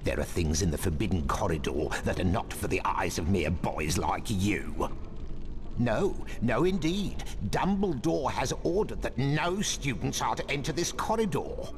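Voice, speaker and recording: A grumpy old castle caretaker in a video game, low and gravelly underneath, scolding trespassers and fawning to his masters. The game audio carries a steady hiss. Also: a little slow. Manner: scolding, wheedling.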